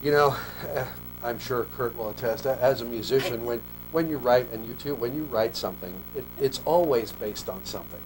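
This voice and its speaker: A man's voice: mid-range voice, brisk, rough recording. A man in his forties, born in Australia, talking casually and at length as an author guest in a television interview. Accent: American English, faint Australian trace lost. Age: 40s. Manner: relaxed, talks in long loose sentences with restarts. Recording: mains hum under a local TV studio recording.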